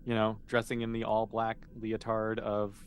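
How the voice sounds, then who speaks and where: A man's voice: on the low side, monotone, moderate pace, a little hiss or hum. A man running a tabletop role-playing game as game master, explaining things in a casual, encouraging way.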